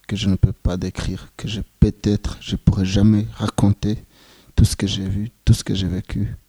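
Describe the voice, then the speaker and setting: A Rwandan man in his twenties giving solemn, measured testimony as a genocide survivor. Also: on the low side, warm tone, slightly rough, no echo. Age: 20s. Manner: slow, grave, measured phrasing.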